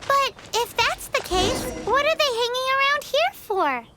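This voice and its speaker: A squeaky tiny fairy sidekick. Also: female voice, lively intonation, unhurried. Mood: uneasy. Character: tiny floating female fairy-like companion, chirpy and excitable. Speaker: sidekick companion. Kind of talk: video game voice acting. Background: game soundtrack music.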